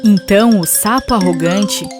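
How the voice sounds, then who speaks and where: A woman's voice: mid-range voice, normal speed. A woman reading scripted storybook narration for children as the narrator of a fable. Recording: music behind the voice. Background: music.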